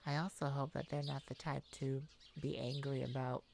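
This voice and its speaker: A woman playing a tenderhearted mage devoted to a werewolf partner in a romantic ASMR roleplay, soft, affectionate and creaky, with pauses. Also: rumbling low, flat delivery, background noise. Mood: affectionate, resolute. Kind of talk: romantic ASMR roleplay, one-sided dialogue.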